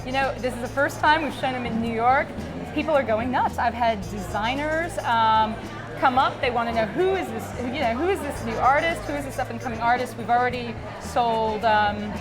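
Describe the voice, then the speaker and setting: A woman's voice: fairly high pitch, normal speed. A woman from Dallas, a native Greek speaker, talking through her background in a chatty way with many ums and restarts. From Dallas, Texas. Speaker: gallery owner. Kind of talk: interview at an art show. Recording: music and crowd behind. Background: crowded gallery event with music.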